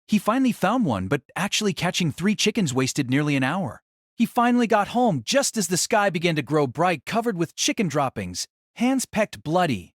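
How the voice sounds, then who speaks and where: Animated male narrator, fairly high voice. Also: normal speed, no room sound, clean studio sound. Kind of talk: dramatic scripted light novel narration.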